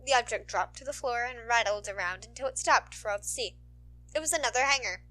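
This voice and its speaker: A woman reading a scripted story aloud as an expressive narrator. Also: a little high, a little fast, rough recording. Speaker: narrator, podfic reader.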